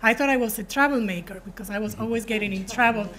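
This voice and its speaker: A woman's voice: on the high side, fast talker, a bit bright. A woman from Argentina speaking as an alumni panelist, telling her career story in an earnest, personal way. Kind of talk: panel introduction. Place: university event room.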